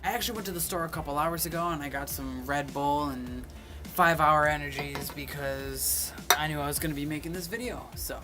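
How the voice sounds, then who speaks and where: A man's voice: on the high side, a little fast, over music. A young man in his twenties chatting casually to camera, reading questions aloud and answering them, recorded on a phone. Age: 20s. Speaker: vlogger.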